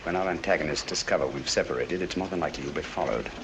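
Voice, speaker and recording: An actor playing a brisk, commanding English gentleman detective, crisp and clipped, with a British accent in 1940s film style. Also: rumbling low. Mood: urgent, confiding. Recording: noisy 1940s film soundtrack.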